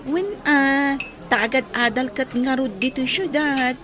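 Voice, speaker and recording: A woman playing a curious, outspoken young working student in a radio drama, speaking in an expressive, questioning way. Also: sits high, measured pace, over music.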